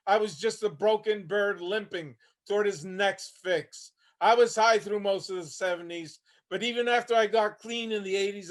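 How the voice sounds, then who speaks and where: A man's voice: high-pitched, a bit bright. A man acting a haunted, homeless older Vietnam veteran, weary and bitter, telling his story plainly in a staged play reading. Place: online video call reading.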